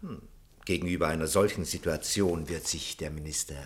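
A fawning, scheming minister. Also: male voice, rumbling low, fairly even intonation, a little fast. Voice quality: obsequious, sly, chuckling. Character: scheming corrupt male education minister, fawning. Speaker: minister Branesco. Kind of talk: 1950s-60s radio play acting.

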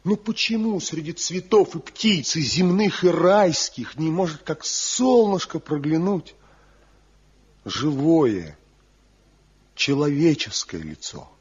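An actor playing a proud, envious rebel woodcarver in a theatrical, declamatory Soviet radio drama style. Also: male voice, on the high side, sing-song, slow pace, slightly rough, exaggerated voice. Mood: passionate, questioning. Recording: dull lo-fi 1970 radio recording.

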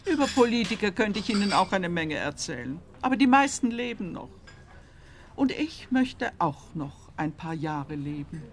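A wealthy, stern madam in a radio play. Her medium-pitched voice moves animatedly, yet stays brusque, knowing and unimpressed. Light café ambience sits behind her. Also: sing-song, moderate pace. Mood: knowing, emphatic. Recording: clear background noise of a café scene.

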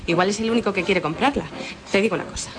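A woman's voice: mid-range voice, rapid-fire, lightly acted. An actress playing a defiant young woman in a radio play, speaking. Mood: determined. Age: young adult. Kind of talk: Spanish radio theatre acting. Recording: music behind the voice.

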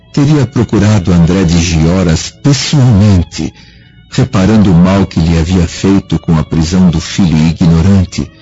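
An actor playing a remorseful aging Roman senator in a radio drama, solemn and declamatory, with a husky voice. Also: male voice, low-pitched, warm tone, exaggerated voice. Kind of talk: Brazilian radio drama acting. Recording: dull lo-fi radio drama recording, music.

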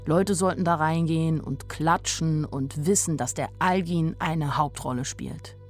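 A woman's voice: lowish voice, a little mellow. An actress playing a candid, naive nineteen-year-old woman who tells her own story plainly in a radio drama. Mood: candid, wry. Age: young adult. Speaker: actress narrating as the main character. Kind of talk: radio drama first-person narration.

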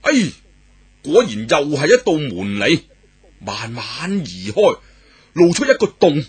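A man telling a martial arts tale in traditional storytelling style, animated and exclamatory with dramatic pauses. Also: on the high side.

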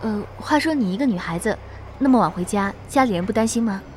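A woman's voice: medium pitch, lively intonation, quick pace, a little hiss or hum, natural voice. An actress playing a hesitant, secretive young woman on a night train in a horror drama. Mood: hesitant, probing. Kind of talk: conversational horror drama acting.

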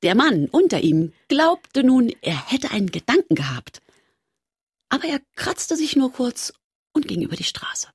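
Husky, swooping storyteller. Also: female voice, sits high, very animated, unhurried, no echo, clean studio sound, exaggerated voice. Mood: deadpan. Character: deliberate, dry female teller of absurd tales. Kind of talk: storytelling in a radio play.

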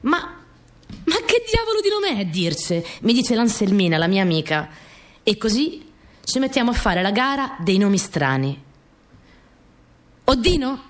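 A woman's voice: mid-range voice, big pitch swings, a little slow, pauses often. An actress playing a young peasant girl who recounts her rural family life in simple, repetitive phrases for a radio play. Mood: playful, insistent. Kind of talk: first-person dramatic storytelling.

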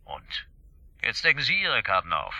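Sharp-edged, sardonic police inspector. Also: male voice, fairly low pitch, huge pitch swings, moderate pace, vocal fry, no room sound, lo-fi sound, full character voice. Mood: sceptical. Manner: laconic, sardonic, matter-of-fact. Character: dry, sceptical male police chief inspector. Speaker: detective. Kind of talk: radio drama dialogue.

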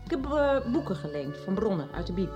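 Radio play: actress as confiding middle-aged woman, chatty, halting, faint music bed. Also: mid-range voice, sing-song, lightly acted. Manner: confiding, chatty storytelling with hesitations. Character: middle-aged woman confessing her past. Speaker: radio drama character. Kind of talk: 1990s radio drama acting.

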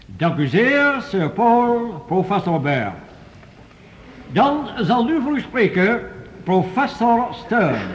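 A man's voice: high voice, very animated, takes it slowly, muffled recording, slight background noise, exaggerated voice. An actor playing a formal, authoritative chairman who keeps order at an assembly in a radio drama. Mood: formal, courteous. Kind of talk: Dutch radio drama acting, formal speech. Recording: long reverberant hall.

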